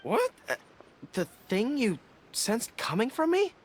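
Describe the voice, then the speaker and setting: A woman's voice: fairly high pitch, wildly swooping pitch, measured pace, husky, full character voice. A woman voicing an earnest, easily flustered young soldier in a video game, hesitant and proper. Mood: puzzled, flustered.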